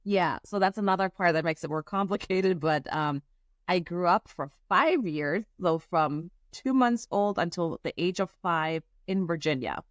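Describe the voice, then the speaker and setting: A woman from Germany, a guest on an interview podcast, chatting in a quick, friendly way and explaining at length. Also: lowish voice, animated, dry recording, studio quality. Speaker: venture capital guest. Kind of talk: casual interview chat.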